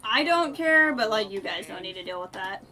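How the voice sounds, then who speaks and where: A woman's voice: medium pitch, animated, normal speed, sharp tone. A woman doing casual live-stream game commentary, chatting offhand and muttering asides to herself. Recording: keyboard clatter, faint noise.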